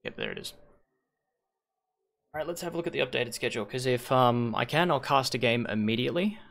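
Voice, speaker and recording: A hoarse man hosting a league stream on a headset mic, rambling and correcting himself as he thinks aloud. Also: mid-range voice, sing-song, brisk, a bit bright. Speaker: league host and shoutcaster. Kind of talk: casual livestream commentary.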